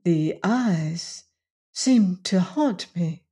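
A woman voicing a frail, wistful young woman of eighteen in a storybook, halting, whispery and tearful. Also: mid-range voice, a little mellow, natural voice. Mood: dazed, wistful.

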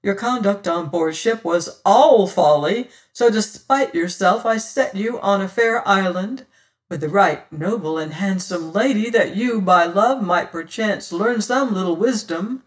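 A silver-tongued, scornful pirate rogue from an adventure audiobook, acted out by a male reader. He lectures and taunts in old seafaring speech, slowly and in a high register, slightly off the microphone. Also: recorded from a distance. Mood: scornful lecturing. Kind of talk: dramatised audiobook reading of an adventure tale.

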